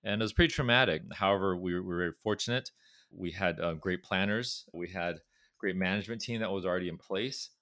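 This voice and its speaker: A man's voice: low voice, quick pace, fairly bright tone, dry recording, studio quality. A middle-aged man from Southern California with a Californian accent, speaking as a company chairman in a conversational interview, often repeating words as he gathers his thoughts. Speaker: pharmaceutical company chairman, guest.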